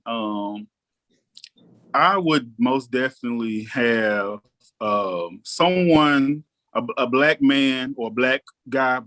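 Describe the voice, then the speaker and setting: A man in his thirties chatting casually on a podcast, thoughtful and relaxed, often pausing with ums. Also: medium pitch. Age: 30s. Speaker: guest co-host.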